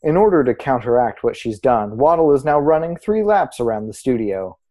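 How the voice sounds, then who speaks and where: A man playing an earnest small-town arts radio co-host, presenting in a deadpan, formal, mock-serious scripted style. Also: medium pitch, moderate pace, close to an everyday voice. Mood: explanatory.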